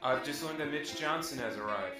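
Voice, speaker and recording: A man's voice: mid-range voice, fairly quick, bright, forceful tone, natural voice. A man playing a hesitant, deferential junior police officer in a radio drama. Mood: nervous. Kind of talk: amateur radio drama acting. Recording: roomy, distant, music underneath.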